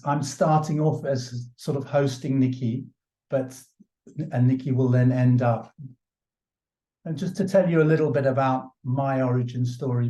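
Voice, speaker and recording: Man, keynote speaker, mellow voice, halting restarts, echoey room. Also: mid-range voice. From South Africa. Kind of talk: keynote talk.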